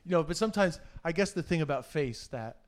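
A man's voice: on the high side, expressive, fast. A man hosting a casual interview with a friend, teasing and swearing freely, often restarting his sentences. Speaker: podcast host interviewing a friend. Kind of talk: casual profane banter.

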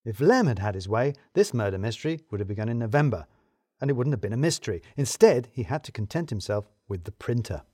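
A man's voice: mid-range voice, sing-song, fast, a little mellow, husky, dry recording. A British man giving a scripted first-person comic narration with dry, wry humour and emphatic phrasing. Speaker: narrator of an audio fiction serial.

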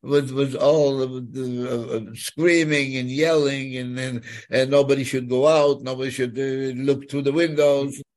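A man in his nineties from Poland, with a Polish accent, giving personal testimony in a halting way, often repeating words and phrases. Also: mid-range voice, fairly even intonation, darkish tone. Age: 90s. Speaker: guest, Holocaust survivor telling his story.

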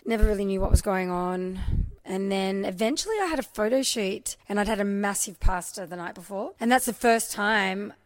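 A woman in her thirties with an Australian accent, chatting casually as a podcast guest, animated and prone to trailing off mid-sentence. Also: mid-range voice, moderate pace. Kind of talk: casual chat.

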